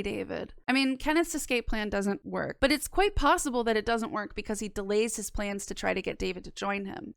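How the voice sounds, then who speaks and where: A woman's voice: a little high, vocal fry, dry recording. A young woman giving scripted commentary with casual asides, wry, blunt and sweary, close on a microphone. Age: young adult. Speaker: video essayist reviewing a horror podcast. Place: small indoor home studio. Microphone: close microphone on boom arm.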